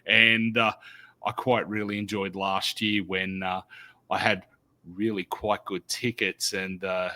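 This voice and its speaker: A man in his thirties with an Australian accent, co-hosting a casual podcast chat as a loud, animated storyteller over a desk mic on a video call. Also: sits low, unhurried. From Sydney, Australia. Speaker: podcast co-host. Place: home studio.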